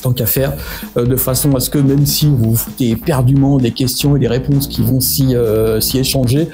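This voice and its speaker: A man's voice: medium pitch, steady tone, normal speed, mellow, faint music behind. A French man talking casually to his channel's viewers, rambling and joking at his own expense with lots of hesitations. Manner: rambling, self-mocking, many hesitations. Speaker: miniature-hobby YouTuber. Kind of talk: casual vlog talk to subscribers.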